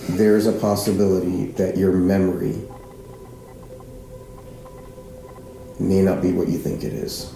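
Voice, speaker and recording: A man playing a patient but firm small-town police chief who questions insistently and with measured pauses, in a naturalistic fiction podcast. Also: sits low, creaky, warm tone, slight background noise. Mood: earnest, patient. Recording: roomy echo, music underneath.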